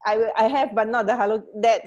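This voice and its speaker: A woman co-hosting a casual radio chat, speaking in a halting way and repeating words as she searches for phrasing. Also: a little high, fairly even intonation, darkish tone, lo-fi sound. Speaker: radio co-host.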